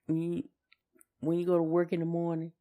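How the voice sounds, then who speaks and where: A woman's voice: lowish voice, completely flat delivery, fairly quick, narrow, tinny audio. A woman, chatting casually as a podcast co-host. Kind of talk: casual chat.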